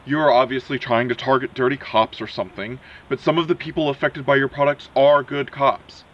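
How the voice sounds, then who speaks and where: A man's voice: medium pitch, fairly even intonation, fairly quick, muffled recording, natural voice. A man playing an undercover agent who poses as a polite, persistent reporter, probing skeptically in an audio drama.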